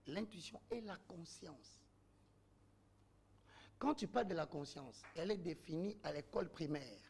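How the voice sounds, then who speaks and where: A man's voice: fairly high pitch, vocal fry, a little mellow, a little hiss or hum. A man, arguing his point as a guest in a heated panel debate, oratorical and full of rhetorical questions.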